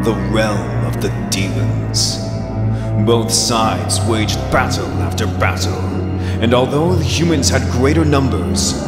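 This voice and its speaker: A man playing a solemn, grandiose epic narrator in an animated fantasy parody. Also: on the low side. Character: put-upon agent moonlighting as deep, solemn epic narrator. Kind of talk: scripted dramatic narration in an anime parody. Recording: roomy long reverb, loud music behind. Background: loud music.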